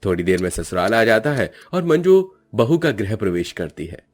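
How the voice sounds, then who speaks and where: A man's voice: fairly low pitch, sing-song, normal speed. A man narrating a cartoon family story in a dramatic, scripted storytelling style.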